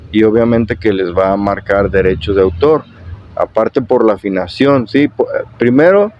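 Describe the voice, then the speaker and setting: A man with a husky voice hosting an informal guitar tutorial vlog, explaining things repetitively and often checking in with his viewers. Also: mid-range voice. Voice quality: hoarse, husky. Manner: repetitive, with frequent check-in questions. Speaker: YouTube guitar tutorial host. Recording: dull lo-fi sound.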